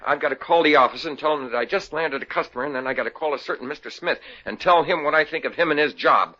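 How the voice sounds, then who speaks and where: A man's voice: on the high side, fast talker, pushed and bright, husky. An actor playing an eager, bumbling young salesman in a 1950s radio comedy. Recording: dull lo-fi old radio broadcast.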